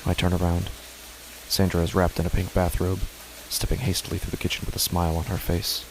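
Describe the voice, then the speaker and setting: A man narrating a first-person horror story in a measured, tense way. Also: low-pitched, monotone, noisy background.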